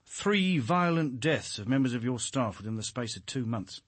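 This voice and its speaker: An actor playing a shrewd police inspector, probing and dryly insinuating, with a British accent in a radio drama. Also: male voice, mid-range voice, lively intonation, normal speed, no room sound, lightly acted. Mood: needling, sardonic. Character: shrewd, needling male police inspector questioning a suspect.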